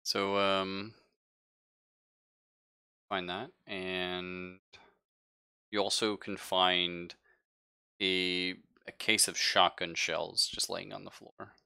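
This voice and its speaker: A man narrating a tabletop game casually as game master, often pausing to search for his words. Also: sits low, flat delivery, vocal fry, pushed and bright, smooth.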